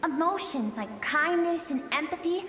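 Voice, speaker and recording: A woman playing the gentle, earnest, suppressed emotional self of a young woman in a video game, speaking in a measured, theatrical way. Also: very high voice, expressive, a little hiss or hum. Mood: earnest pleading. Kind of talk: video game voice acting, dramatic monologue. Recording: echoey, long reverb, music behind.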